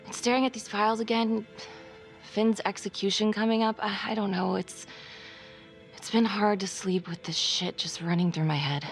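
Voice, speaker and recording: A woman's voice: medium pitch, fairly even intonation, normal speed, close to an everyday voice. A woman acting a weary, guarded and tough homicide detective in a crime game, speaking bluntly in a naturalistic style. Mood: weary, troubled. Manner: blunt, swearing, world-weary. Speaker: video game actor. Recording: music under the dialogue. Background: background music.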